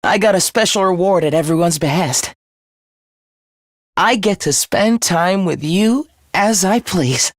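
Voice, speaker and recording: A man's voice: sits high, expressive, unhurried, dry recording, clean studio sound. An actor voicing an obsessive young man in a video game, devoted to his comrade as to a god, with theatrical, intense, florid delivery. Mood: blissful adoration. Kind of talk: English video game voice acting, dramatic monologue.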